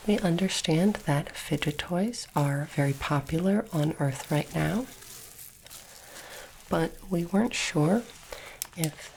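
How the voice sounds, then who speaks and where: A woman doing a soft-spoken, whispered ASMR roleplay as a polite, formal alien interviewer, with deliberate phrasing. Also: lowish voice, vocal fry, a little hiss or hum, rough recording.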